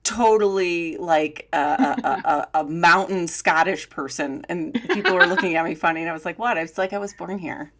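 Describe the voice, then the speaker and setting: A woman's voice: on the low side, fairly quick, few pauses. A woman co-hosting a podcast, chatting casually and telling stories with plenty of filler words and laughter. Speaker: podcast co-host.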